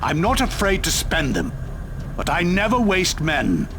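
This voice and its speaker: An actor playing a ruthless, calculating military commander in a video game cutscene, cool and measured. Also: male voice, high voice, huge pitch swings, noisy background, exaggerated voice. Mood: cold. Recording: game cutscene with music behind.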